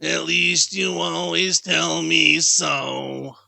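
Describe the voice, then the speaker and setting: A man voicing a disapproving, impatient young Victorian wife in a drawn-out, scolding manner for a storybook. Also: on the high side, steady tone, creaky, sharp tone, no room sound, exaggerated voice.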